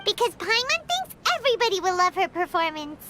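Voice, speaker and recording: A woman's voice: big pitch swings, brisk, exaggerated voice. A woman voicing a tiny, chirpy fairy companion girl who talks about herself by name, in a video game. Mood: cheerful. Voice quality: high, squeaky. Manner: bubbly, refers to herself in the third person. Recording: game audio with loud music.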